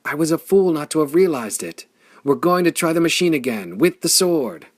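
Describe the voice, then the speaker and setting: A man voicing a scarred young warrior hero in an audiobook, rasping and bitter when low, shouting when roused. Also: big pitch swings, moderate pace, no echo, studio quality. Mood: elated. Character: scarred young male warrior hero, brooding then fiery. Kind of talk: audiobook character voice.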